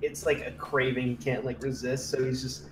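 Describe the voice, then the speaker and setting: A man playing a tabletop roleplay, chatting casually in halting, slangy phrases, recorded at a distance in a roomy space. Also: medium pitch, level tone, a little hiss or hum. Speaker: roleplay player. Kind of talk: casual game chat. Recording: roomy echo, far from mic.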